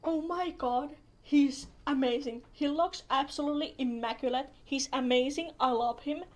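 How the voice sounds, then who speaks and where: Female game streamer, high voice, casual gushing reactions, excitable and repetitive. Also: normal speed. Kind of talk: casual reacting commentary over a video game.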